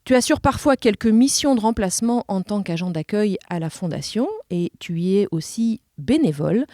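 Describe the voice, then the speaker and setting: A woman's voice: medium pitch, expressive, normal speed, dark tone, no room sound, studio quality. A woman hosting a podcast, presenting and interviewing in an articulate, careful way.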